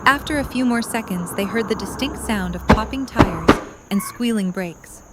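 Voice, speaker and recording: A woman reading the narration of an audio drama in an even storytelling manner. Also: medium pitch, normal speed, very noisy. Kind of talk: scripted narration.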